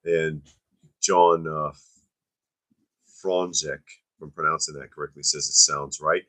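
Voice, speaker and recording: Hoarse, very deep-voiced man. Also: fairly even intonation, unhurried, fairly bright tone. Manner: wry, joking asides. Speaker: co-host of a toy livestream. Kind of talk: casual livestream chat. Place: home toy museum room. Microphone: camera-mounted mic.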